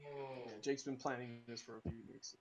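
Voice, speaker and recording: A man's voice: mid-range voice, little pitch movement, a little hiss or hum, rough recording. A man reading out script stage directions as narrator, casually and with some stumbles. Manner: halting, stumbles over the script. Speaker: narrator of a script table read.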